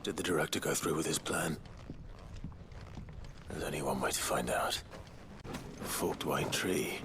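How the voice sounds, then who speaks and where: A grim but compassionate male adventurer from a video game. His voice is deep and hushed, often nearly whispered, with short, brooding phrases. Clear ambient noise surrounds it. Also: a little slow. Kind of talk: dramatic video game acting.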